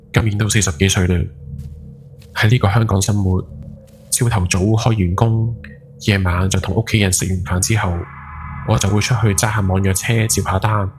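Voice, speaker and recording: A man acting as a haunted Hong Kong taxi driver in his forties, speaking Cantonese in a lowish voice over faint music. He confesses his guilt in a brooding first-person horror podcast story, leaning on the dramatic words. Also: natural voice. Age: 40s. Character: haunted guilt-ridden forty-something night taxi driver. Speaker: ghost story podcast narrator. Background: background music.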